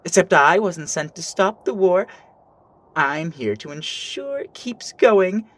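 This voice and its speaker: A woman playing a smug, condescending female villain in an animated action story, with theatrical, drawn-out delivery. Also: on the low side. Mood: smug, gloating. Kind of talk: amateur animated action voice acting.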